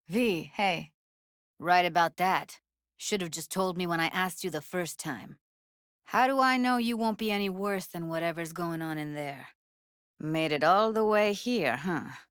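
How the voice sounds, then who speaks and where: A woman's voice: lowish voice, dry recording, clean studio sound. A woman voicing a streetwise, guarded young techie in a video game, blunt and slangy, with an American accent. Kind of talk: naturalistic video game dialogue.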